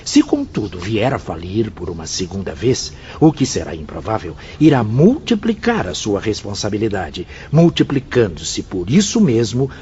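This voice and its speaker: A man's voice: on the low side, darkish tone. A Brazilian actor playing an earnest, solemn man in a radio drama, reciting emphatically. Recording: dull lo-fi audio, faint background noise.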